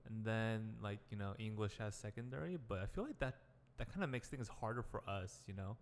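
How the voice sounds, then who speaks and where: A young man talking on a casual podcast, halting and heavy with "like" fillers. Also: on the low side, long reverb tail, slight background noise.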